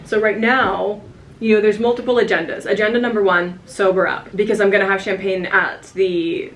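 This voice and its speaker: A woman's voice: mid-range voice, steady tone, moderate pace, slight background noise, poor audio. An American woman vlogging casually to camera, a little tipsy, chatty and rambling. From United States. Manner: chatty, giggly, rambling with fillers. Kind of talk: casual vlog chat to camera. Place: hotel room indoors.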